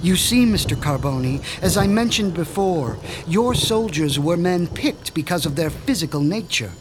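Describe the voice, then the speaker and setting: A man's voice: on the high side, lively intonation, lightly acted, rough recording. A man playing a sinister, pompous mad scientist who boasts of his revenge, in a radio drama, with faint music underneath. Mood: menacing. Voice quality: measured, menacing, pompous. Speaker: Dr. Stein.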